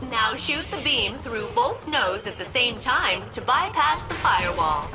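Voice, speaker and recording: A woman's voice: on the high side, very animated, measured pace. A woman voicing a cheerful, eager game ship computer with precise, formal technical phrasing. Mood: chipper, precise. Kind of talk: video game character dialogue. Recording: music behind the voice.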